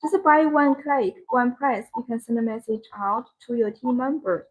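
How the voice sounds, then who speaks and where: A woman's voice: on the high side, moderate pace, low-quality recording. A woman who speaks English as a second language, presenting products in a live sales demonstration with simple, repetitive phrasing. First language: not English.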